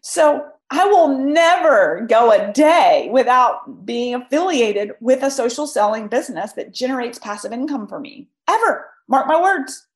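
A woman's voice: high voice, reverberant. A woman hosting a podcast, talking emphatically straight to her listeners while her voice is scratchy from a cold. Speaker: podcast host. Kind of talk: solo podcast talk, advice.

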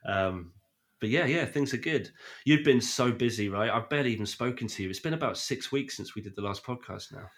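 A British man with a voice husky from a cold, co-hosting a podcast in an excitable, casual fan style. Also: medium pitch, brisk. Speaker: podcast co-host.

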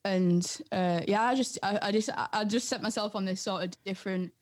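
A woman's voice: medium pitch, brisk. Young North East English woman chatting casually. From North East England. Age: young adult. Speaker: interview guest, music producer. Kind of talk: casual chat.

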